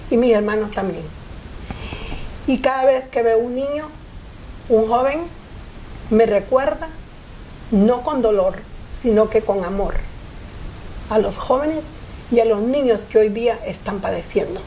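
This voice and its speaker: A woman's voice: a little high, a little slow, pauses often, mellow, slight background noise, rough recording. An older woman from Honduras, a guest in a TV studio interview, speaking earnestly in short reflective phrases. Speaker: interview guest, foundation founder. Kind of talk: personal interview. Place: TV studio set.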